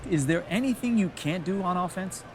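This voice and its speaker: An actor playing an eager, probing sports reporter, politely asking post-game questions in a video game. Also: male voice, high-pitched, animated, fairly quick, a little mellow. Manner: polite, inquisitive. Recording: noisy urban background ambience.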